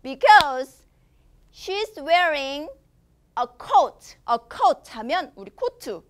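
A young woman teaching a children's language lesson, expressive and enunciating slowly for young learners. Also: high-pitched. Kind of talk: children's language lesson, switching between Korean and English.

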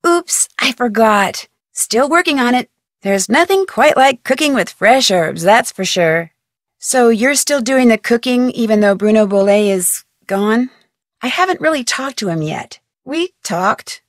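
Young game sleuth, inquisitive and courteous, slightly high voice, dry studio read. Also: female voice, animated, measured pace, dry recording. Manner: inquisitive, earnest questioning. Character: curious young female amateur detective, polite and inquisitive. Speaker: game protagonist. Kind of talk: recorded game dialogue lines. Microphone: close studio mic.

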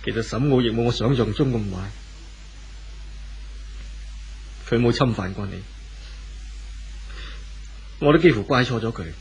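A forgiving, well-to-do husband played by a man speaks gently in a Hong Kong Cantonese accent, at a middling pitch. It is 1970s radio drama acting on an old recording with faint noise. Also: brisk, creaky, natural voice, low-quality recording. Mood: gentle, forgiving. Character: wealthy, forgiving husband parting gently from his wife.

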